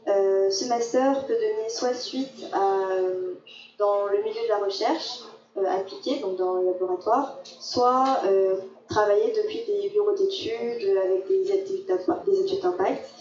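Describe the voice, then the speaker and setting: A young woman, a master's student, presenting her path in a measured way with fillers. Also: medium pitch, darkish tone. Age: young adult. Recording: dull roomy sound from far off.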